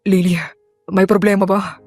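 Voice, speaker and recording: A tender young gentleman, acted by a woman in an audio drama, speaking in a lowered voice. He is halting and earnest. The recording is dry, with faint music behind. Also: flat delivery, dry recording, close to an everyday voice. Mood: concerned. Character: young wealthy man in a wheelchair, hesitant, tender.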